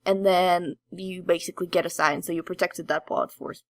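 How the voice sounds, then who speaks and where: A woman's voice: on the low side, steady tone, a little fast, no room sound. Woman, podcast co-host, casual gaming chat, rambling delivery, close dry recording.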